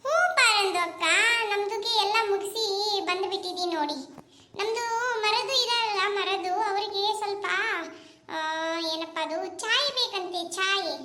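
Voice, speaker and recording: A woman's voice: very high voice, normal speed, sharp tone. A woman playing a chatty neighbor woman who begs for sugar, speaking Kannada with an Urdu-flavored accent and sprinkling in Hindi words. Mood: coaxing. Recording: roomy echo, faint background noise.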